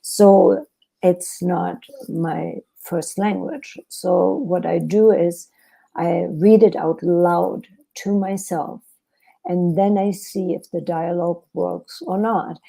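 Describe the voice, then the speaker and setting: Author guest: German-accented woman, unhurried, gravelly, low-key, thoughtful. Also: steady tone, mellow, poor audio. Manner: thoughtful, hesitant with fillers and restarts. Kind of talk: podcast interview.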